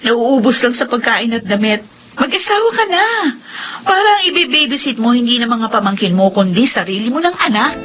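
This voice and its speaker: A woman's voice: on the high side, lively intonation, fairly quick, a bit bright, slightly rough. A woman playing a playful young wife and mother in a radio drama, bossy and teasing. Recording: dull lo-fi radio recording.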